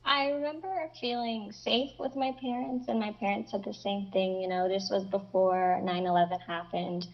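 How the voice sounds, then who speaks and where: A woman's voice: medium pitch, steady tone, normal speed, hardly any pauses, muffled recording. A young woman from Mexico raised in Kentucky, with a light Kentucky accent, speaking reflectively as an interview guest over a video call. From Mexico, raised in Northern Kentucky. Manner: reflective, many fillers.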